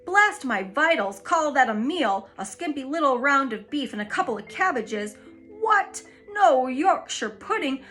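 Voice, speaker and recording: A woman voicing a blustering elderly naval admiral who shouts and splutters with rage. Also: sits high, very animated, unhurried, full character voice. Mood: outraged.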